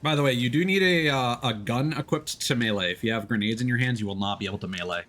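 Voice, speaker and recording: A man's voice: medium pitch, quick pace, fairly bright tone, slight background noise. A man giving casual, playful gameplay commentary, with loose swearing and silly exclamations. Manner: playful, profane exclamations and sound words. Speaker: game streamer. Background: video game sound effects.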